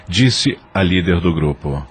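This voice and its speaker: A man's voice: low voice, lively intonation, measured pace, husky. A man reading scripted narration for a dramatized audio novel.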